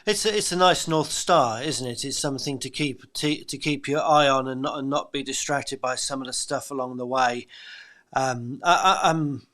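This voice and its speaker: A man's voice: medium pitch, normal speed, fairly bright tone. Reflective male podcast host. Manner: reflective, affirming, hesitant fillers. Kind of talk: podcast interview.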